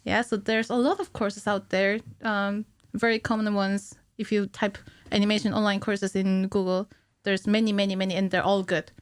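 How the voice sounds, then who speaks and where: A woman's voice: medium pitch, level tone, moderate pace, few pauses, clear, resonant tone, dry recording. A woman around her thirties in casual interview chat as a guest, explaining things in a giggly, informal way. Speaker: podcast guest, animator. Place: small indoor podcast studio. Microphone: close studio mic on a stand.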